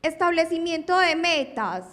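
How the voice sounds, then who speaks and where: A woman giving a talk at an academic conference, lively and full of rhetorical questions to her audience. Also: very high-pitched, a little slow. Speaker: lecturer. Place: lecture hall. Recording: long hall reverb.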